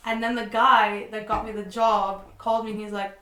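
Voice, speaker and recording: Fast-talking woman in an echoey room. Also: medium pitch. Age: 20s. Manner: fast, animated, chatty with quick asides. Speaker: guest. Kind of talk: casual conversational storytelling. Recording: echoey room, mic at a distance, faint noise.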